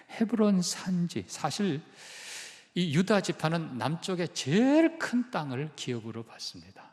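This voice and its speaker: A man's voice: fairly high pitch, long reverb tail. A male pastor preaching a sermon, emphatic and putting questions to the congregation. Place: church sanctuary.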